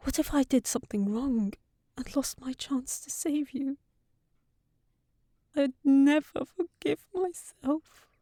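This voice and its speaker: A tender young housekeeper from a fairytale, acted by a woman, speaks slowly in a close, dry recording. She is sensible but fretful and lovelorn, rambling intimately as in a fantasy audio drama. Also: sits high, no room sound. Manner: tender, fretful rambling with sighs and hushed asides.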